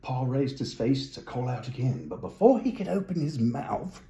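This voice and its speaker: A man's voice: mid-range voice, very animated, normal speed, dark tone, roomy sound. A man reading a children's story aloud, with whimsical, musing asides to the listener. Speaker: storybook reader.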